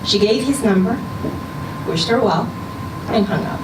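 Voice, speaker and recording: A woman reading a short story aloud at a live event, with measured, expressive storytelling. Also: medium pitch. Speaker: story reader. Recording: roomy, distant, noisy live event recording.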